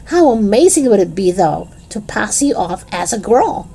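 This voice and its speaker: A woman's voice: medium pitch, very animated, moderate pace, creaky, warm tone, breathy edge, pitched above the performer's own voice, exaggerated voice. A woman voicing an eager, confident, playful schoolgirl in a storybook, with a coaxing, enthusiastic manner.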